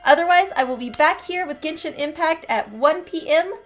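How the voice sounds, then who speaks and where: A woman streams a game in a high-pitched voice. She chats casually with a playful, bubbly manner, and faint music plays behind her. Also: animated, normal speed. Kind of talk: casual livestream gaming chat.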